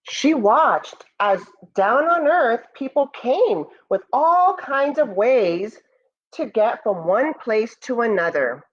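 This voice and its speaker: American school teacher lady reading a kids book, highish expressive voice, kinda dull lo-fi audio. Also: takes it slowly. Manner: expressive, addresses children directly. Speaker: elementary school educator. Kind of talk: children's read-aloud with asides to pupils.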